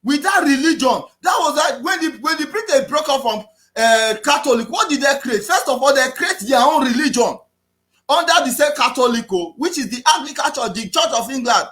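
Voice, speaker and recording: A man with a Nigerian accent giving an impassioned political talk, repeating himself and often raising his voice to a shout. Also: very high voice, animated, a little fast. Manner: preachy, repetitive, often shouting. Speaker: activist speaker.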